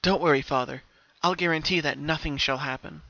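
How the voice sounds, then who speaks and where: A man's voice: a little high, brisk, gravelly, bright, forceful tone, dry recording, muffled recording. A man voicing a caring, dutiful older sister pony in a story reading, earnest and reassuring.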